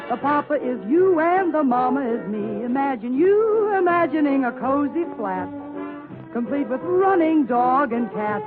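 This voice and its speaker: A woman singing a playful 1940s novelty love song. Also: high-pitched. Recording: tinny vintage radio with music.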